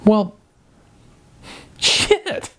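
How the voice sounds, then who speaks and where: A man's voice: very slow, bright, forceful tone. A man voicing a blunt, exasperated, sardonic woman, an aspiring actress, in informal audiobook dialogue. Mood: baffled, exasperated.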